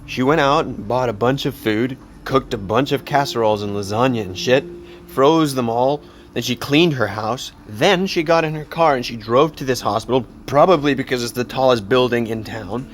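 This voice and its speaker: A man plays a teenage boy in a naturalistic audio drama, blunt and weighed down by guilt. He speaks haltingly in a mid-range voice, with sighs and swearing. There is audible ambience behind him. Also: mid-range voice, a little hiss or hum, close to an everyday voice. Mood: grieving, weary. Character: distraught teenage boy, blunt, guilt-ridden best friend.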